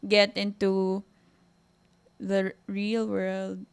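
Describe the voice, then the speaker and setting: A woman's voice: mid-range voice, completely flat delivery, drawn-out words, creaky, crowd noise. A Filipino woman in her twenties chats on a casual podcast. She is talkative and teasing, and drifts freely between English and Tagalog. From Philippines. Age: 20s. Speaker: podcast co-host. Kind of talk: casual chat.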